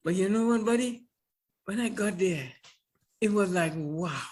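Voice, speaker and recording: Older man, high voice. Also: unhurried. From Trinidad and Tobago. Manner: animated, chuckling, repeats words. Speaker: guest. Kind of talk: casual storytelling interview.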